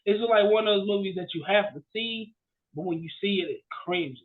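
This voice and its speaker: A man co-hosting a casual podcast, telling stories and ranting in an animated, slangy, exclamatory way. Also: high-pitched, a little fast, narrow, tinny audio. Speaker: podcast co-host. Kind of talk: casual chat, storytelling and ranting.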